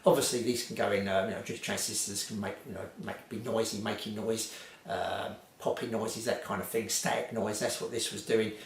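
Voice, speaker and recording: A man's voice: fairly low pitch, steady tone, brisk, gravelly, a little hiss or hum. A man giving an informal, unscripted hi-fi review in a rambling, repetitive way with lots of hedging. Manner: rambling, hedged, repetitive phrasing. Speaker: vintage hi-fi reviewer. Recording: roomy, echoey home recording.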